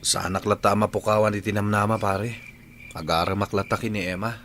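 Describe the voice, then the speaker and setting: A man's voice: lowish voice, steady tone, brisk, fairly bright tone, a little hiss or hum, lightly acted. An actor playing an earnest, lovesick young man in an Ilocano radio drama, speaking sentimentally. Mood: stubborn, hurt. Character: Satur, earnest lovesick young male suitor.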